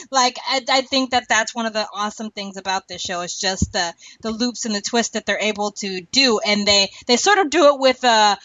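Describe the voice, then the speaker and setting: A woman's voice: fairly high pitch, sharp tone, no room sound, highs cut off. A woman co-hosting a podcast, chatting casually and emphatically about a TV show, repeating words for stress. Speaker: podcast co-host.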